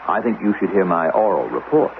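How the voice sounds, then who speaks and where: Radio drama, male coolly controlled government investigator: precise, formal, commanding, highly varied pitch, thin lo-fi vintage sound. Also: lively intonation, fairly quick. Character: controlled, officious male investigator of a future state. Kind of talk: 1970s radio drama acting. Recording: narrow, lo-fi old radio recording, faint noise.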